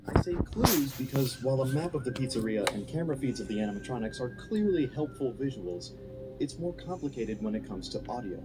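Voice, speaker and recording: A man's voice: medium pitch, very animated, fairly quick, noisy background. A man delivering scripted, analytical narration in the style of a video essay.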